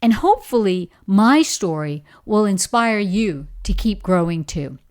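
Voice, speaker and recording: A woman's voice: mid-range voice, very animated, unhurried. A middle-aged woman with a Bahamian accent giving an encouraging, emphatic motivational talk on leadership. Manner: warm, encouraging, emphatic storytelling. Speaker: leadership coach.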